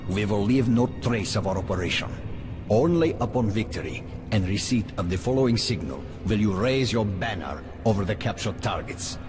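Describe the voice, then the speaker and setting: A man's voice: on the low side, animated, moderate pace, lightly acted. An actor playing a stern general briefing his soldiers in a commanding tone. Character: stern male army general giving orders. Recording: game cutscene with loud music.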